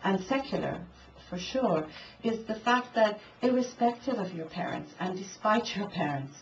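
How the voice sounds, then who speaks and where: A woman's voice: medium pitch, animated, normal speed. A woman, an invited speaker, answering a question at a public talk in an earnest, arguing way. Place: event hall. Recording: distant mic, dull, light hiss.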